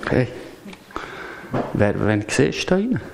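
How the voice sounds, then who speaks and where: Man preaching a lively chatty sermon. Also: medium pitch, slow pace, gravelly, background noise. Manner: lively, chatty, asks the congregation questions. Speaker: pastor. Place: church service.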